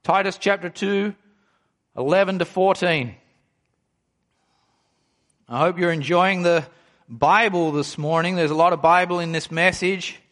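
A pastor in his forties with a fairly high voice preaches a sermon. He reads scripture aloud and then explains it, slowing down and pressing hard on the words that matter. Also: fairly bright tone. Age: 40s. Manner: emphatic preaching, slows down to stress key phrases.

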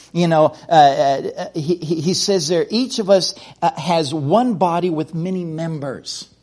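A middle-aged man from the United States preaching a sermon in a folksy way, often repeating words and restarting sentences. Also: fairly high pitch.

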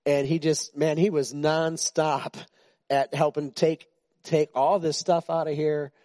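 A pastor in his sixties preaches in a loose, conversational way, joking with his flock and stumbling over restarts. His voice sits a little high for a man. The sound is dry and close, with almost no room echo. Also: flat delivery, unhurried, warm tone. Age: 60s. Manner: chatty and joking, with frequent restarts. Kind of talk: informal sermon to a congregation. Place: church.

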